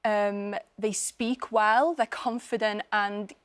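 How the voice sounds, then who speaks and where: A woman's voice: mid-range voice, steady tone, takes it slowly. A woman in her twenties with a Welsh accent and Welsh as her first language, speaking earnestly and emphatically as a guest in a casual TV interview. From Wales. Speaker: reality show contestant as guest.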